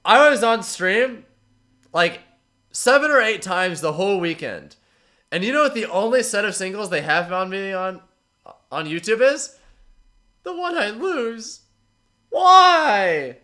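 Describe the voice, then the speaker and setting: A man with a stuffy, congested voice from a cold, chatting casually with his stream viewers and reading out their messages in a relaxed, rambling way. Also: high-pitched, measured pace, long pauses. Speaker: streamer analyzing tournament matches.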